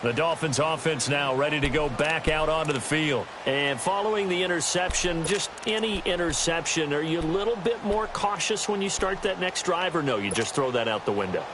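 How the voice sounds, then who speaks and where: A man's voice: on the high side, lively intonation, brisk, hardly any pauses, natural voice. A man voicing a polished, keen play-by-play announcer in a football video game. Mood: steady, engaged. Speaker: play-by-play sports commentator. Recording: very noisy game stream with stadium ambience. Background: loud football game sounds and ambience.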